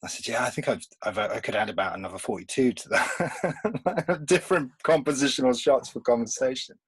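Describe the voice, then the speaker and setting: Husky-voiced man, laughing often. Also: mid-range voice, lively intonation, a little fast, no echo. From England. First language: Polish. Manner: rambling, laughs often between thoughts. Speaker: comics artist guest. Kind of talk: casual remote podcast interview.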